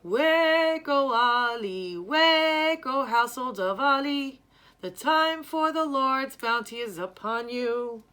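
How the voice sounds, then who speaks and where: Storybook Ramadan drummer, performed by a woman: high, bright, drawn-out devotional chant, urging people awake. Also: animated, clean tone, exaggerated voice. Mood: calling out. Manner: sing-song, drawn-out chanting. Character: Ramadan night drummer chanting devout wake-up calls.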